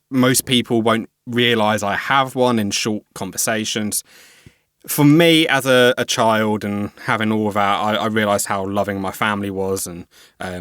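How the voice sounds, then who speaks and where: A man's voice: on the low side, fairly bright tone, no echo, clean studio sound. A young man, a podcast guest talking candidly and reflectively, with a few stammered repeats. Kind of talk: candid conversational interview.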